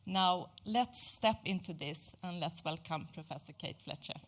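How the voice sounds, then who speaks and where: A woman giving a prepared, formal introduction of a lecturer, delivered in measured phrases. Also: on the low side, very noisy. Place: indoor lecture venue.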